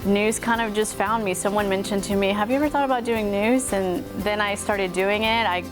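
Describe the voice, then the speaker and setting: A woman's voice: medium pitch, a little fast. A woman in her thirties from Mexico with a light Texas accent, a morning news anchor talking earnestly and gratefully about her life. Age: 30s. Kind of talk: personal interview talk. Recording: music playing underneath.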